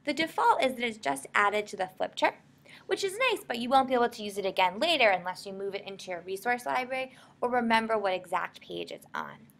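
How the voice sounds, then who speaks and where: An American woman narrating a software tutorial in an informal, explanatory way. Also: on the high side, lively intonation, a little fast, creaky. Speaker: software instructor.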